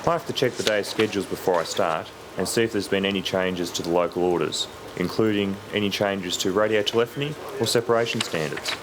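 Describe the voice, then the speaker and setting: An Australian man working as an air traffic controller, explaining his job in a measured, matter-of-fact way. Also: deep voice. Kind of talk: career profile narration with radio phraseology.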